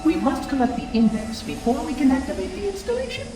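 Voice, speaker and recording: A man's voice: extremely high pitch, huge pitch swings, fast, reverberant, far from the mic, over music, full character voice. A man voicing a chirpy, officious robot monitor in a video game, formal and precise, with robotic processing. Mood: officious, urgent. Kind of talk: video game cutscene acting.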